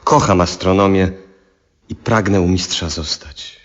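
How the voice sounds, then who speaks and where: An actor playing an earnest, devoted male scholar in a 1970s radio drama, with formal, measured delivery. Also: low-pitched. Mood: earnest, eager. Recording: dull vintage radio recording.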